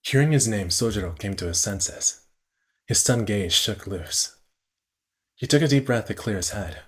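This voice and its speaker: Low-voiced man streaming, slightly husky. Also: expressive, moderate pace. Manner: stumbles over words and rereads phrases while narrating. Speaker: streamer reading a visual novel aloud. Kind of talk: amateur read-aloud narration with casual commentary.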